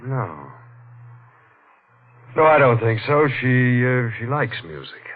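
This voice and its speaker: An actor playing a tough, suspicious working-class American husband in a 1940s-style radio mystery, gruff and terse as he probes for answers. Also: medium pitch, very animated, slow pace. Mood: guarded politeness. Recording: muffled, tinny old radio recording.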